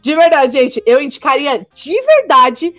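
Guest: high, bubbly São woman in her twenties, slangy and laughing, on slightly muffled audio with light music. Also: unhurried. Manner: excitable, laughing often, slangy. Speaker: podcast guest, returned missionary. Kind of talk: casual interview chat.

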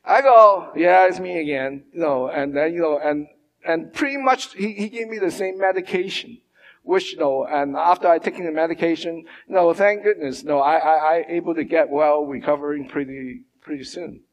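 Halting man preaching. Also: a little high. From Hong Kong. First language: Mandarin or Cantonese. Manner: halting, many fillers and restarts. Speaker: pastor. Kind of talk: sermon. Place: church hall. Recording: long church hall reverb.

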